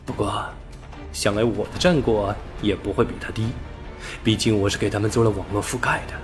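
Low-voiced male audiobook narrator. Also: fairly even intonation, a little fast, music in the background. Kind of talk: scripted sci-fi novel narration.

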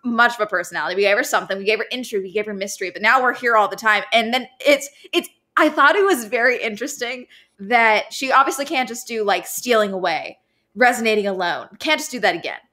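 A young woman with a bright, forward voice at medium pitch talks books casually as a podcast guest. She is on a video call from home and drops wry, sarcastic asides. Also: lively intonation, fast talker. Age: young adult. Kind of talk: casual book discussion. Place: living room at home. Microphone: video call, seated at computer.

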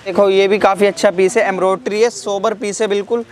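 A man's voice: high voice, level tone, quick pace. A man, presenting products as a salesman in a matter-of-fact, repetitive way. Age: 20s. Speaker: clothing shop salesman. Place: clothing warehouse stockroom. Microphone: lavalier on T-shirt.

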